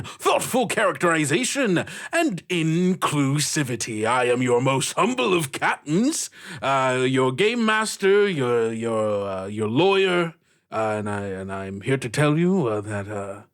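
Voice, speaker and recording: High-voiced, animated man hosting a game podcast. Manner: jokey, theatrical. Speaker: host and game master. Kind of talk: playful podcast introduction and banter.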